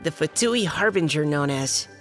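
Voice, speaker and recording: A man's voice: fairly high pitch, animated, a bit bright. An actor playing an earnest young male knight who reads a formal letter aloud with care and halts when flustered. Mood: steady reading. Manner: careful, formal reading, halting when flustered. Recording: game cutscene with reverb and music.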